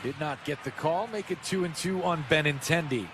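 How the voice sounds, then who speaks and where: A man's voice: fairly high pitch, moderate pace. An American man calling live baseball play-by-play for radio. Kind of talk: live sports commentary. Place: stadium broadcast booth. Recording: clear stadium ambience noise behind. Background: ballpark ambience.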